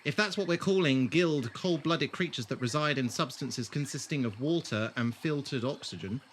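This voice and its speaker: An actor playing a whimsical alien time traveller in an audio drama, theatrical and wordy, with a wry, teasing way of talking. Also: male voice, mid-range voice, normal speed, slight background noise, natural voice. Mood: confident, teasing.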